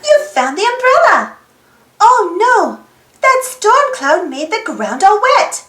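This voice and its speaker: A woman reading a children's picture book aloud in an animated way, putting questions to young listeners. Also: very high-pitched, slow, reverberant. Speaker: storybook read-aloud host.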